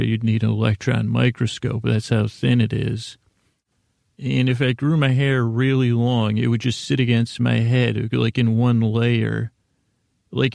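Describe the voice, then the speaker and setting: Male podcast host, very gravelly mid-pitched voice, meandering and self-effacing comic monologue, halting delivery. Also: darkish tone, no room sound, studio quality. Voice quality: gravelly, creaky. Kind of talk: rambling comedic monologue.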